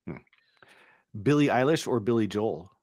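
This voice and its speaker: A middle-aged man hosts a casual interview with upbeat, quick reactions. His voice sits fairly low. It is picked up dry and close on a boom mic in a home studio. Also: animated, darkish tone, dry recording. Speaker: interview host. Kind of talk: casual video-call interview. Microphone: boom studio microphone with headphones.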